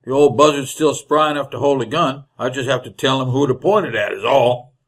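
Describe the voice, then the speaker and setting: A man's voice: mid-range voice, a little fast, close to an everyday voice. A man playing a greedy, scheming frontier outlaw with rustic, folksy, drawling speech in an old-time radio western. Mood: sly, wary. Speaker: actor in supporting role.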